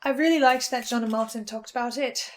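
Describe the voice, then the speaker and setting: A woman playing an anxious, brooding researcher, speaking a halting dramatic monologue with stammers and pauses. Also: fairly high pitch, close to an everyday voice. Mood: troubled, self-doubting. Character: anxious female researcher with ominous knowledge, brooding and defiant. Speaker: host reviewing a fiction podcast in character. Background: music.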